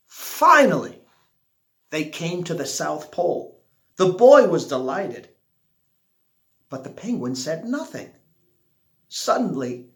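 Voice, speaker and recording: Slow, animated man reading a picture book. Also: high voice. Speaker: storybook reader. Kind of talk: expressive children's picture book read-aloud. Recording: echoey room.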